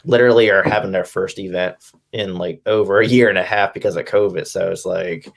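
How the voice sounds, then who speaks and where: A man's voice: low voice, steady tone, fairly quick, gravelly. A man in his twenties giving a casual, conversational interview as a guest, loose and informal in phrasing. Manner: conversational, peppers speech with "like" and "you know". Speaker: interview guest, wrestling fan and promoter. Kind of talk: casual chat.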